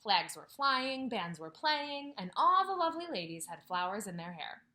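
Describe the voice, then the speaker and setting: Bright, lively kindergarten teacher reading aloud. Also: female voice, mid-range voice, moderate pace. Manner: expressive storytelling with questions to children, drops to whispers. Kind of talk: reading a picture book aloud to young children. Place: at home, near a couch.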